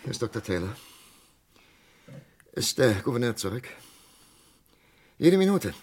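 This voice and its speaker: An actor playing an agitated, morally outraged psychiatrist in a German radio crime drama, speaking urgently and haltingly. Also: male voice, mid-range voice, big pitch swings, darkish tone, slight background noise. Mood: anxious, impatient.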